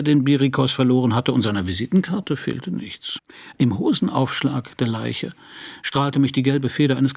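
Hard-boiled detective, husky, gravelly, laconic, sardonic, radio noir narration. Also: male voice, medium pitch. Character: wry, hard-boiled male Paris private detective. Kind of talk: 1990s German radio crime drama, first-person narration.

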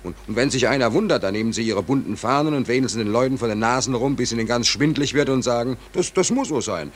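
An actor playing an embittered, hungry merchant seaman in a 1940s German radio play, speaking in a halting, brooding, insistent way. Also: mid-range voice, animated, natural voice. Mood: bitter, indignant. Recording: noisy 1940s radio drama recording.

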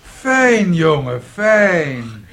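A man's voice: on the high side, very animated, very slow, creaky, fairly bright tone, heavily acted. A man acting in a radio drama as a timid, soothing father who speaks in a placating way. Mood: mild.

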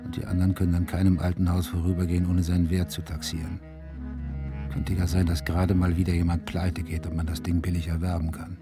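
A male actor plays a weary country detective in a radio drama. His voice is low and gruff, his delivery dry and sardonic, with music in the background. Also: monotone, fast, lightly acted. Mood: brooding. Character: weary, curt male police detective, farmer's son. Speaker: lead character and narrator. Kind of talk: radio drama acting and narration.

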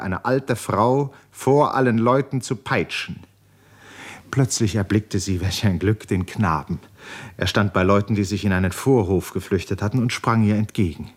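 A man narrating a 1950s German radio drama in a scripted literary style, with dramatic, measured storytelling. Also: fairly low pitch.

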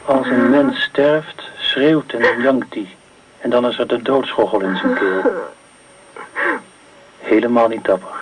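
An actor playing a grim, ominous man who recounts horrors deliberately in a Dutch radio play. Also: mid-range voice, fairly even intonation, a crowd in the background, lightly acted. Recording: very noisy old radio play recording.

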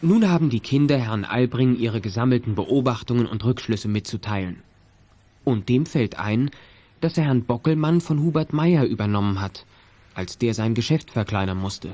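A man's voice: mid-range voice, animated, normal speed, mellow, lightly acted. A man narrating a children's detective audio play in a scripted storytelling style.

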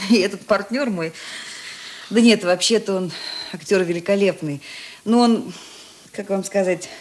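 A woman acting a sensitive, self-doubting theatre actress in a Russian radio play, breathy and hesitant. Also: medium pitch. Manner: soft, hesitant, emotional.

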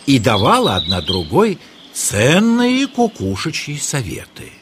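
A man tells a children's fairy tale as narrator. His delivery is slow, with extremely varied, swooping intonation. Clear background noise and birdsong sit behind the voice. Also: medium pitch, wildly swooping pitch. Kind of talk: scripted children's storytelling. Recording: noisy track with birdsong.

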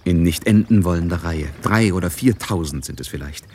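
An actor playing a composed, knowing narrator in a radio drama, delivering literary narration in a measured, wry way. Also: male voice, sits low, lively intonation, darkish tone, slight background noise. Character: knowing, composed male narrator of a society novel.

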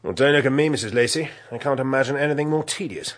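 An actor playing a bored, impatient young English cad in a radio drama, drawling and dismissive. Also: male voice, mid-range voice, fast talker, natural voice.

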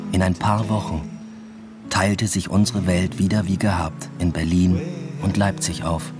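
A man's voice: deep voice, close to an everyday voice. An actor playing an introspective academic who narrates his own story in a subdued, reflective way in a radio drama. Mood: reflective, melancholy. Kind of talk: literary first-person radio drama narration.

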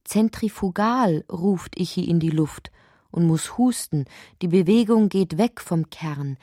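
An actress playing a brooding, introspective narrator of a dreamlike literary radio drama, with a soft, breathy, husky delivery. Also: female voice, on the low side, dry recording, clean studio sound. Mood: dreamy, measured. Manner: measured, introspective delivery, sometimes sinking into whispers.

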